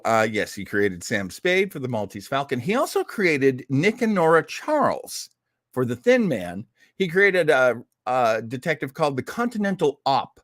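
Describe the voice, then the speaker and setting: Animated man chatting as a radio host. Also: mid-range voice, dry recording, studio quality. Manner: rambling, animated storyteller with wry asides. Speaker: host of an old-time radio show program. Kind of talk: casual chatty introduction to vintage radio drama.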